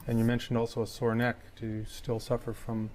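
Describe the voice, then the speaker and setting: A man's voice: mid-range voice, completely flat delivery, brisk, a little mellow, close to an everyday voice. A man playing a methodical lawyer who questions an injured claimant in a formal, probing way. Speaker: examining lawyer. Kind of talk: staged legal discovery questioning.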